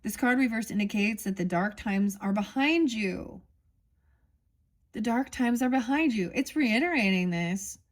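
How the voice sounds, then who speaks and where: A woman's voice: on the high side, lively intonation, unhurried. A woman giving a casual oracle card reading, musing aloud and reading the card texts. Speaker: tarot reader.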